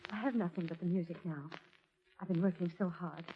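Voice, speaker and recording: An actress playing a fragile, devoted wife and concert pianist, anxious and defensive, in 1940s radio drama style. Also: female voice, lowish voice, a little fast, natural voice. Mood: anxious, pleading. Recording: muffled, noisy old radio recording.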